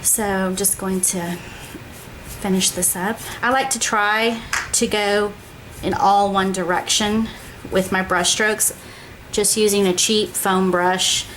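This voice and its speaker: A woman hosting her own craft show, walking viewers through a project step by step in a casual, chatty way and often checking in with a quick "okay?". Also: medium pitch, fairly even intonation, noisy background.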